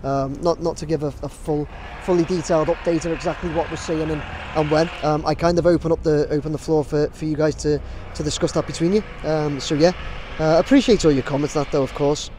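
A man's voice: fairly high pitch, steady tone, fairly quick, background noise. A man in his thirties vlogging to a handheld camera on a city street, talking casually with lots of fillers and restarts. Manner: rambling, many fillers and restarts. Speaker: drone videographer. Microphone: camera held at arm's length.

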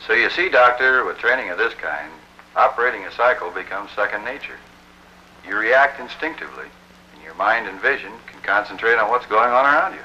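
A man's voice: on the low side, lively intonation, fairly quick, pushed and bright. A middle-aged American man playing an earnest, confident motorcycle police officer explaining safety in a scripted 1960s training film. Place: small office, indoors. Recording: dull 1960s film soundtrack, faint noise.

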